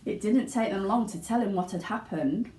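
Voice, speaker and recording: A woman giving an expressive narration of a children's storybook. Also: medium pitch, moderate pace. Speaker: teaching assistant reading aloud. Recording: roomy indoor echo.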